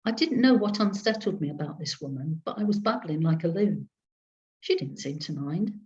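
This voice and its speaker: A woman reading a story aloud in the first person with a wry, sardonic, expressive delivery over a video call. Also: deep voice, normal speed. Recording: dull lo-fi video call audio.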